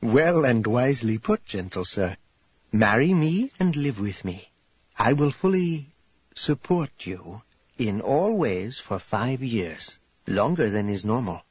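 A man voicing a formal, self-assured wealthy noblewoman for an audiobook, courteous and direct, with measured, old-fashioned phrasing. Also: mid-range voice, big pitch swings, husky, no room sound, lo-fi sound, full character voice. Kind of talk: audiobook character voice.

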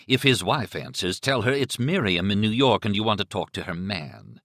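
A man's voice: on the low side, animated, moderate pace, a bit bright, dry recording, clean studio sound. A man voicing a menacing male killer who taunts and commands in coaxing, sadistic slang. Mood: coldly coaxing.

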